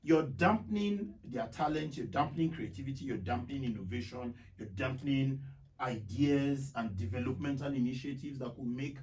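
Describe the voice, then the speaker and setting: Nigerian man, thirties, emphatic discussion guest who piles up lists, mid-pitched, slightly distant recording. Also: moderate pace, a little mellow. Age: 30s. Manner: emphatic, builds lists and repeats phrases. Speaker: radio talk show guest. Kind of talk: discussion, explaining opinions. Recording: slightly distant mic, faint noise.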